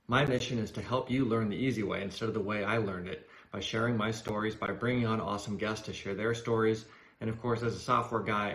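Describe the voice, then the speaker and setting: A man's voice: lowish voice, fairly bright tone, husky. A man hosting an interview podcast, speaking in a conversational style. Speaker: podcast host.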